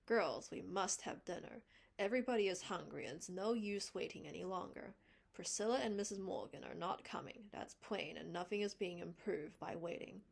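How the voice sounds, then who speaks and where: Female reader voicing a stern older woman, loud and firm, with a gravelly, husky edge. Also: fairly low pitch, very animated, normal speed, exaggerated voice. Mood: cross.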